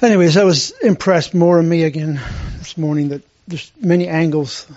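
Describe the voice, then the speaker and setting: Man sharing reflections at a church meeting, hesitant and restarting, higher pitch, muffled recording. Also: darkish tone. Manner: halting, many restarts and fillers. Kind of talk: unscripted church discussion. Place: room with a group. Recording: dull lo-fi sound.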